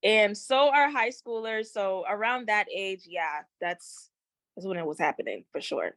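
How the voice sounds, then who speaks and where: A woman's voice: mid-range voice, a little slow, no echo. A young woman singer, born in Haiti, talking casually as an interview guest, with slang and many fillers. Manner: relaxed, slangy, with frequent fillers.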